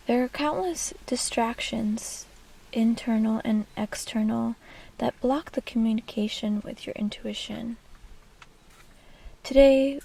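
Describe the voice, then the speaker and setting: Slow, hushed woman guiding meditation. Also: on the high side, poor audio. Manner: soft, breathy, half-whispered, soothing delivery. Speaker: meditation guide. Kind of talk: guided meditation.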